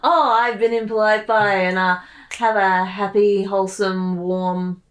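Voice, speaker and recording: A woman co-hosts a creator commentary as one of its voice actors. She chats casually at a slow pace, with a mid-range pitch. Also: steady tone, echoey room.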